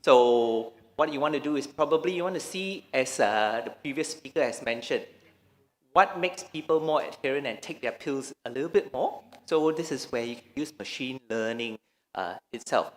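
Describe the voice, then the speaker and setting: A man's voice: medium pitch, lively intonation, normal speed. Malaysian man lecturing in a big hall. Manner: hesitant, many restarts and fillers. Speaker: conference speaker. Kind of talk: conference talk. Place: large conference hall.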